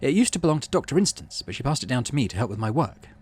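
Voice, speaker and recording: A man's voice: mid-range voice, very animated, very fast, darkish tone, breathy edge, pitched above the performer's own voice. A man voicing an earnest, stoic teenage girl scientist in a visual novel, with precise, formal speech. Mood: proud, intense. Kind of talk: reading visual novel dialogue aloud.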